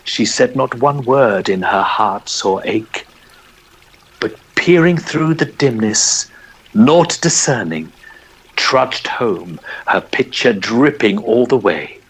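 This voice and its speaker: A man narrating a poem in a theatrical, measured storytelling style. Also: mid-range voice, lively intonation, husky, poor audio. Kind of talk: dramatic poetry reading, storytelling.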